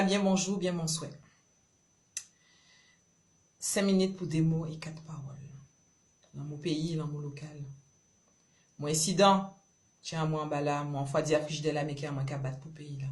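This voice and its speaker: A woman from Guadeloupe with a Creole accent, performing spoken-word love poetry in short, rhythmic, incantatory phrases. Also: low-pitched. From Marie-Galante, Guadeloupe. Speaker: poet.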